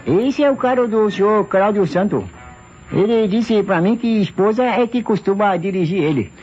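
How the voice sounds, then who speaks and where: An actor playing a deferential, puzzled police assistant in an old radio mystery, speaking haltingly with repeated words and question tags. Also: male voice, high-pitched, darkish tone, husky, faint music behind. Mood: eager, deferential. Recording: muffled, hissy old radio recording.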